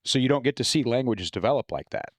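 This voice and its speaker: A man's voice: mid-range voice, animated, fast talker, no echo, studio quality. An American man talking as a guest author in a casual podcast conversation, explaining things informally with loose, profane language. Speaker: guest author discussing own novels.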